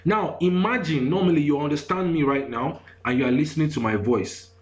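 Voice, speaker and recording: A man's voice: fairly high pitch, a little fast. A man with a Nigerian accent talking through screenshots in an online lesson, repeating himself and constantly asking if listeners follow. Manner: repetitive, keeps asking "you get me?". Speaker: online course instructor.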